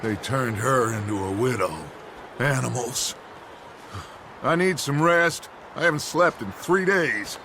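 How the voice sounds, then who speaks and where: An actor playing a grandiose, protective middle-aged American outlaw leader, weary and theatrical in a western game. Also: male voice, medium pitch, measured pace, lightly acted. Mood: grim, exhausted. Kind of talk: cinematic western game acting. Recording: clear background noise.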